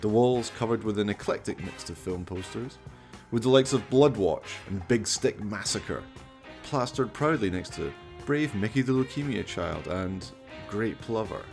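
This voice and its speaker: A man's voice: on the low side, expressive, normal speed, creaky, darkish tone. A man giving theatrical, wry scripted narration for a horror radio play. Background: music.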